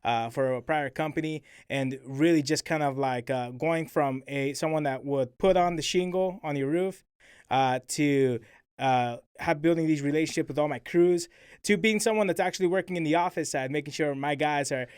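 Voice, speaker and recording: A man's voice: a little high, normal speed, darkish tone, smooth, no echo, studio quality. A man in his twenties, raised in New Mexico, chatting as a podcast guest in an upbeat, easygoing way, full of "you know, man". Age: 20s. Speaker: guest, roofing executive. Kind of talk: casual interview chat.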